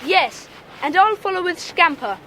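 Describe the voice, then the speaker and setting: A woman playing a bold but cautious schoolboy gang leader, brisk and commanding, in a children's audiobook drama. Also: very high voice. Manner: brisk, commanding, hushed excitement. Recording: echoing hall, faint noise.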